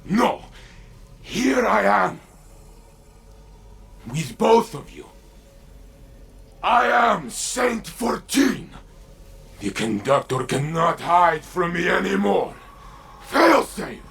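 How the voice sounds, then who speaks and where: Casting: valiant robot knight, huge dramatic swings, forceful and theatrical. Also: male voice, high voice, sing-song, drawn-out words, creaky, long pauses, full character voice. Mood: triumphant. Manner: theatrical, grand declarations. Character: valiant, big-hearted robot warrior, booming and tender. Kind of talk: video game voice acting.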